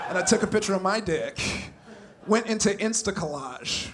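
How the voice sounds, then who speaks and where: A young man from California performs a stand-up set into a handheld mic, his voice sitting high. He acts out shouted quotes and chuckles through his own jokes. The live recording carries a clear layer of room noise and crowd laughter around him. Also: animated, unhurried. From Compton, California. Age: young adult. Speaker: stand-up comedian. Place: comedy club stage with a live audience.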